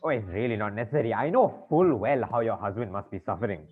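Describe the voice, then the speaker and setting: A man's voice: fairly low pitch, animated, quick pace, husky. An actor playing a fussy, exasperated bank manager in a comic play, stammering politely as his patience frays. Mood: polite, strained. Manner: stammering, fussy, over-polite turning frantic. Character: flustered, exasperated male bank manager, polite but fraying. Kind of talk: comic stage-play acting. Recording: tinny band-limited audio, faint noise.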